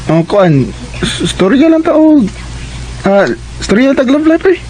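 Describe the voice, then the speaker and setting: A man's voice: extremely high pitch, very animated, a little slow, darkish tone, a little hiss or hum, full character voice, rough recording. A man playing an eager, bashful young student suitor in a Cebuano radio drama, with a Cebuano Filipino accent. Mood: playful. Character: eager, bashful young male student courting his classmate.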